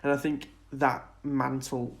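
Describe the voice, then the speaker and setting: A man's voice: mid-range voice, little pitch movement, slow, vocal fry. Male, mid-range voice. Informal, enthusiastic podcast co-host in easy discussion. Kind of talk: casual film and TV discussion.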